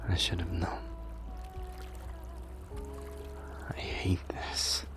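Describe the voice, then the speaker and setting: A man playing a brooding, loyal former general of a beast folk in a pensive ASMR roleplay monologue, often dropping to a breathy murmur. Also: low voice, full character voice. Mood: troubled, confiding. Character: brooding male wolf warrior, former general, troubled and loyal.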